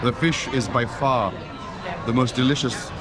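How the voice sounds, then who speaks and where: A man's voice: medium pitch, measured pace, a crowd in the background. A travel vlogger with Kenyan and Swiss roots, speaking in an ordinary mid-range voice. He talks casually while he eats, often through a mouthful, and lists flavors and textures. The sound comes from a camera mic at outdoor eateries. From Kenya and Switzerland. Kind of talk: casual on-camera food tasting.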